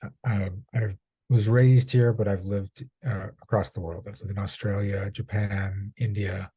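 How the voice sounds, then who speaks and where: A man's voice: fairly low pitch, flat delivery, a little slow, dark tone, breathy edge, dry recording. A man from South Africa, raised in Canada, talking as a guest in a casual interview, friendly and a bit hesitant. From born in South Africa, raised in Canada. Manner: friendly, hesitant fillers, chuckles.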